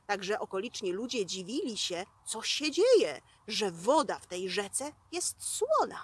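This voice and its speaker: A woman in her thirties telling a children's fairy tale in an expressive, theatrical, folksy storyteller's manner. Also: medium pitch, a little slow, husky, no room sound. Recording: music behind the voice. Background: music.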